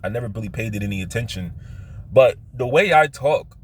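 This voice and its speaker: A man with a Philadelphia accent telling personal stories casually, halting and often circling back to repeat himself. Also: medium pitch, lively intonation, slightly rough. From Philadelphia, United States. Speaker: vlogger telling his life story. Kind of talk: casual rambling personal storytelling.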